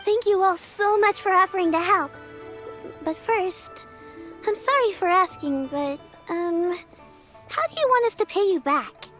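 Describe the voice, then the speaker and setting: High, sweet, earnest girl in a game; faint music behind. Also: animated, unhurried, a bit bright, heavily acted. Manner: polite, earnest. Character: kind, brave young girl caring for her granny. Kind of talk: video game voice acting.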